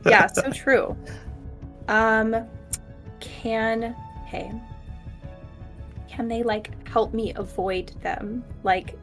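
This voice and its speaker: A woman's voice: on the high side, steady tone, extremely slow, long pauses, a bit bright, faint music behind. A woman chatting casually as a player in a tabletop game, hesitant and playful in her phrasing.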